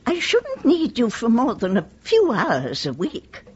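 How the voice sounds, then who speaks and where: A genteel British widow of eighty-four with a very husky voice. She talks slowly, her pitch swooping widely, chatty and courteous with a touch of vanity. It is a radio play on a dull recording. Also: wildly swooping pitch, a little mellow, heavily acted. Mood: polite, persuasive. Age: older. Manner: courteous, polite formal address. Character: genteel, chatty 84-year-old widow, vain and spirited.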